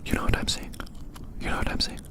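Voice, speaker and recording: Whispering ASMR roleplayer, musing and repetitive. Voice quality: breathy unvoiced whisper. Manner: musing, repeats phrases slowly and deliberately.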